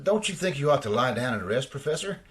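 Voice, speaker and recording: A man playing an eager, deferential scientist's assistant in old-time radio drama style, excitable and a bit hesitant. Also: mid-range voice, fast, natural voice. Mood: concerned.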